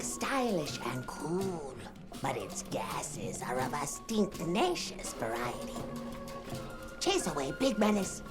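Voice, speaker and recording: A woman voicing a small, furry, self-important creature trader in a video game, with a cartoonish voice and pompous broken English full of mangled big words. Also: on the low side. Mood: haughty bargaining. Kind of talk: video game cutscene acting. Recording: music behind the voice. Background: game music.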